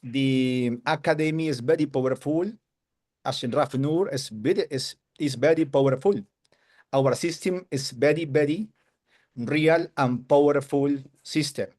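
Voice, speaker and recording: Spanish-speaking man with a Colombian accent, slow halting broken English on a video call. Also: fairly high pitch, darkish tone. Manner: hesitant broken English, searching for words. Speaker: webinar attendee giving a testimonial.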